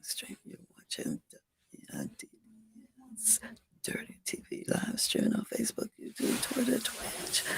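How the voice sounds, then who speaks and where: A hoarse, raspy-throated host of a casual livestream show, repeating station call-outs and coaxing viewers to like and subscribe. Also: medium pitch, low-quality recording.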